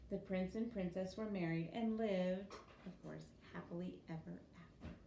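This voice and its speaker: A woman reading a children's story aloud like a teacher, with expressive, animated storytelling delivery. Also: on the low side, normal speed. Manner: animated storytelling with acted character voices. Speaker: teacher reading a picture book to young pupils. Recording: echoey room with clear background noise.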